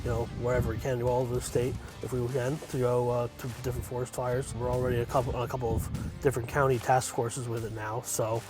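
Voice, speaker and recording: A man's voice: mid-range voice, little pitch movement, quick pace, music in the background. A man giving casual, brief, plainspoken interview answers as a fire chief.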